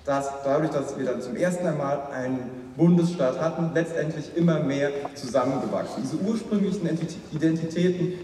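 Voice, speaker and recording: A man's voice: medium pitch, warm tone, a little hiss or hum. Young man debating in an echoey hall. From Rhineland-Palatinate, Germany. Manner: formal, measured, repeats phrases as he builds his case. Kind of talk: competitive debate speech. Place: large hall, at a lectern. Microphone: far camera. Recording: echoey hall recorded from far off.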